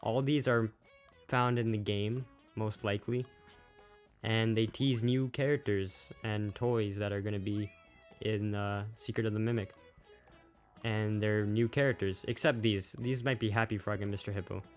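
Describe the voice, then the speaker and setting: A man's voice: on the low side, fairly even intonation, normal speed. A man giving casual, off-the-cuff video commentary as a host, plain-spoken and a little unsure. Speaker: YouTube host, game news commentator. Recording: music playing behind the voice.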